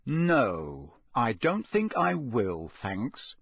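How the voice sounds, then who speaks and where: A man voicing a boy's sceptical inner voice in an audiobook, calm and stubborn, refusing in an understated, dry way. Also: lowish voice, takes it slowly, breathy edge, no room sound, exaggerated voice. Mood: calmly doubtful.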